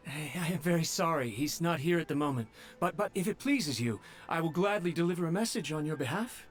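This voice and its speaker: A man's voice: fairly high pitch, lively intonation, a little fast, close to an everyday voice. An actor playing a nervous young male servant who stammers and speaks humbly. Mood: flustered.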